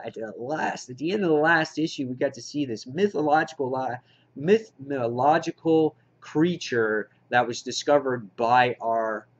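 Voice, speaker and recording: A muffled, lo-fi recording of a man with a mid-pitched voice. He talks off the cuff as an enthusiastic fan, addressing his viewers directly in a casual review. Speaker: comic book reviewer, channel host. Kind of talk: casual unscripted haul review to camera. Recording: dull, lo-fi home recording.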